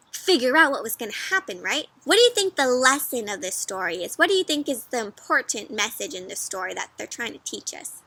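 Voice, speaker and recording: A woman doing an interactive read-aloud for young children, expressive and encouraging, often putting questions to her listeners. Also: on the high side. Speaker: children's storybook read-aloud host and teacher.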